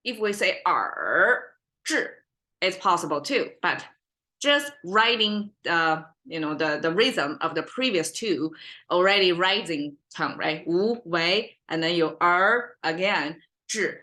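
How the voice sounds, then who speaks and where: A woman's voice: fairly low pitch, unhurried, pushed and bright. A woman with a Chinese accent, a native Mandarin speaker, giving an informal language lesson in a rambling, self-correcting way. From China. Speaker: language teacher, podcast host.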